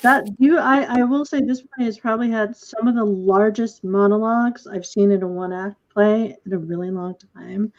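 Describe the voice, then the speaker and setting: Lady director running a talkback, mid pitch, gushy but hesitant, kinda dull lo-fi video call sound. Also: fairly even intonation, normal speed, hardly any pauses. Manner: effusive, hesitant. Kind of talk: informal post-show hosting.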